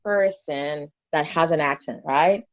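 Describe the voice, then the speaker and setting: A woman from Haiti with a Haitian accent, talking as an interview guest in an animated way with fillers and restarts. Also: low-pitched, measured pace, no room sound, highs cut off. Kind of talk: conversational interview.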